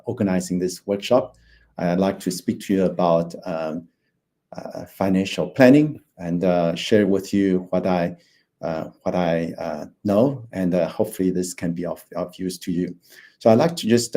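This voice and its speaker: Hesitant man in his fifties, deep and mellow. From Taiwan. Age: 50s. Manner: hesitant, many fillers and restarts. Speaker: financial planner presenting. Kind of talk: online presentation.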